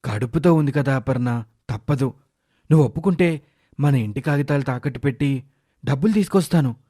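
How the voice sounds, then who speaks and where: A man voicing a caring middle-aged father and husband, earnest and reasoning, in an animated moral story. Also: medium pitch, fairly quick, natural voice. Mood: pleading.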